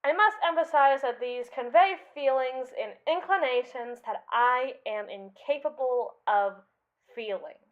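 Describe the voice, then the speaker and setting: A woman's voice: high-pitched, a little slow, dull audio. A woman voicing a haughty, jealous robot assistant in an ASMR roleplay, speaking in pompous, sardonic, formal phrases. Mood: flustered, defensive.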